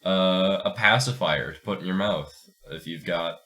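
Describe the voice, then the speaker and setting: A man's voice: deep voice, moderate pace, sharp tone. A man co-hosting a podcast with casual, jokey banter, rambling and often tripping back over his words. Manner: rambling, jokey, stammering repetitions. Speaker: podcast co-host.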